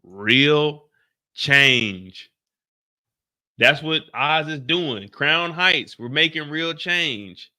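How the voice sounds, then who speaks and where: A man riffs loosely on an episode as he watches, slangy and wry, with a slight stutter now and then. His voice sits a bit high and bright, with lively swings in pitch. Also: drawn-out words. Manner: slangy, wry, talks to the screen, mild stutter. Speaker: reaction video host. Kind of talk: casual reaction commentary.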